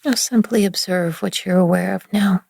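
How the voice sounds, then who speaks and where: A woman leading a guided relaxation meditation in a gentle, soothing, hushed manner with long pauses between phrases. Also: mid-range voice, fairly even intonation, creaky. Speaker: yoga nidra meditation guide.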